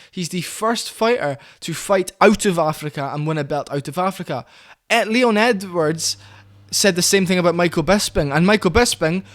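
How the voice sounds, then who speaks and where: A man rants about fighters in a heated, opinionated way, slipping into put-on voices when he quotes them. His voice sits on the higher side for a man. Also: fairly bright tone. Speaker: commentary channel host. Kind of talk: ranting fight commentary.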